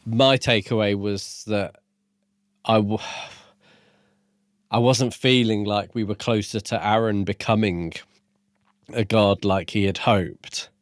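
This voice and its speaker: A man's voice: lowish voice, fairly even intonation, takes it slowly, long pauses, dry recording, studio quality. A man co-hosting a podcast and discussing a book in a casual, conversational style. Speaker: podcast co-host.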